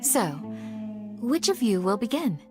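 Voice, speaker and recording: A woman playing a gracious but firm young village guardian in a video game, speaking politely and formally in a measured way. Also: fairly high pitch, sing-song, over music.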